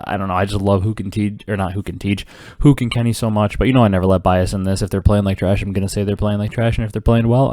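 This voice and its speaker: A man's voice: lowish voice, no echo, clean studio sound. A young man in his twenties with a cold and a congested voice, hosting a casual solo esports podcast full of fillers and rambling asides. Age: 20s. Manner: rambling, filler-heavy, excited esports talk. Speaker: podcast host.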